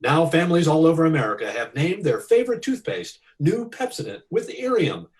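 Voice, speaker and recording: A man's voice: a little high, very animated, a little fast, darkish tone, recorded from a distance. A man announcing and reading sponsor spots in the style of a 1940s radio show.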